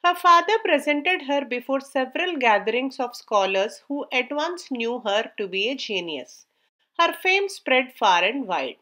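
A woman narrating a storybook tale in a measured, careful read-aloud manner. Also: high-pitched, pushed and bright.

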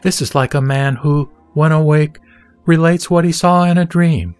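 A man gives a scripted, dramatic reading of scripture in a measured, solemn, instructive manner. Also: fairly high pitch, steady tone, darkish tone, clear, resonant tone, no room sound. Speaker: narrator and reader of scripture. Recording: background music behind the voice.

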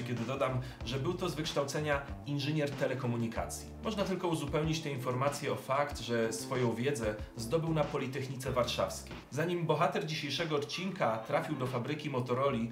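Roomy-sounding male science presenter. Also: mid-range voice. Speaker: presenter of a popular science show. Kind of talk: scripted educational narration to camera. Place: furnished indoor studio set with desk and shelves. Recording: roomy, distant microphone, music underneath. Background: background music.

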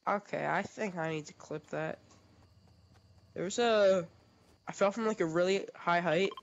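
A young man streaming a game, talking in a rambling, halting way with plenty of fillers, a little sniffly as he gets over a cold. His voice sits somewhat high with a gravelly fry, and faint noise hums under the headset mic. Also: dull audio. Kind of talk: casual gaming chat.

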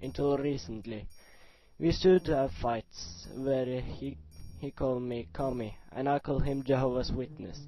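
A man playing a young, scheming, excitable slacker in a web cartoon, with casual comic delivery. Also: mid-range voice, normal speed, close to an everyday voice, rough recording. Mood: wry. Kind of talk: amateur web cartoon character acting.

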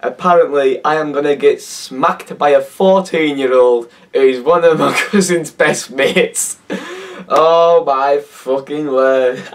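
Hoarse teenage Cumbrian lad. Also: male voice, a little high, slow pace, distant mic. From Cumbria, England. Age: teens. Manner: laughing, swearing, incredulous. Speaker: YouTuber. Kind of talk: casual reaction vlog. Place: bedroom, indoors. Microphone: webcam at desk.

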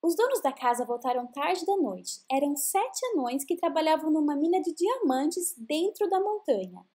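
A Brazilian woman tells a children's fairy tale in a high voice. Her melody rises and falls widely, and she stretches words for expressive emphasis. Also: moderate pace. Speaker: children's storyteller.

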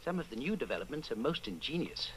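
An actor playing an urbane, guarded party official, formal and measured, in an old-time radio drama. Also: male voice, medium pitch, big pitch swings, slight background noise, natural voice, low-quality recording. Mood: smooth, guarded. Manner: formal, measured, hesitant asides.

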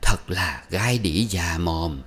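A man's voice: deep voice, takes it slowly, a bit bright, breathy edge, heavily acted. A man voicing a furious, domineering older mother-in-law who scolds and wails melodramatically. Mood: raging, wailing. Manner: scolding, wailing, self-pitying.

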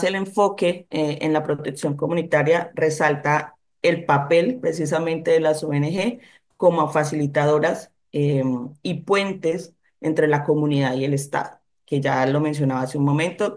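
Measured woman, halting panel remarks. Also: low-pitched, a little mellow. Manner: measured, with frequent hesitant fillers. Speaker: NGO panelist. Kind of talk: formal panel remarks on a video call.

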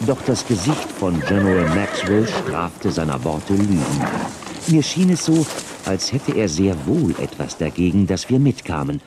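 An actor playing an observant deputy who narrates a western audio drama in dramatic first person. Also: male voice, low-pitched, sing-song, moderate pace. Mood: suspicious, intriguing. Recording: noisy transfer of old audio drama.

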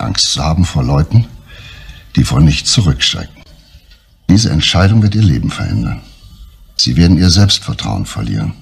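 An actor playing a composed, earnest police inspector in a German crime radio drama, speaking deliberately and insistently. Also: male voice, rumbling low, gravelly, slightly rough, heavily acted.

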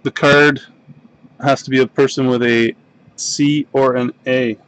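Slow-talking Canadian man breaking card packs. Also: mid-range voice, steady tone, a bit bright, smooth, low-quality recording. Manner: unhurried, mumbling card names while opening packs. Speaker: box break host. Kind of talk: casual live-stream card break commentary. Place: desk in a home card room.

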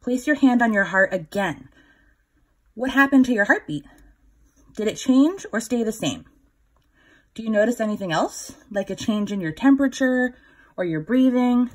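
A woman in her thirties reading a children's picture book aloud, instructive, pausing to put questions to young listeners. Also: on the high side, animated, rough recording. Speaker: children's story time reader.

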